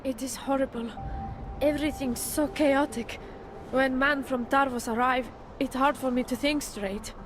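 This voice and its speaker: Woman acting a jumpy, high-voiced Czech witness. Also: steady tone, lightly acted. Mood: shaken. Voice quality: broken English with dropped articles. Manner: halting, jumpy, repeating herself. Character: nervous, guilt-ridden woman surveillance worker, frightened witness. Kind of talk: video game cutscene acting. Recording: music playing behind the voice.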